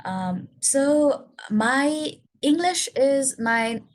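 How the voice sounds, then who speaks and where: Young Nepali woman, Newari native speaker, sits a little high in pitch, earnest and explanatory with plenty of uh and um. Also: expressive, drawn-out words, slight background noise. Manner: earnest, explanatory, frequent uh and um fillers. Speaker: speaker sharing her English learning story. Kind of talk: prepared personal talk to learners.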